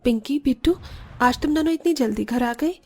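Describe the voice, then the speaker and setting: Poor young widowed mother for cartoon tale, played by a woman: fairly high, earnest, caring, expressive. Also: moderate pace, faint music behind, lightly acted. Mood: caring, hopeful. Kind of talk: cartoon story dialogue.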